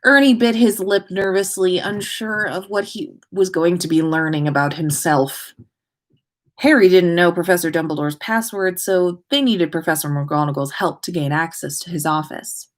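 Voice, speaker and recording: A woman host reading fan fiction aloud in an expressive way, with small stumbles and self-corrections. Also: on the low side, moderate pace. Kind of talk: expressive story reading, livestream.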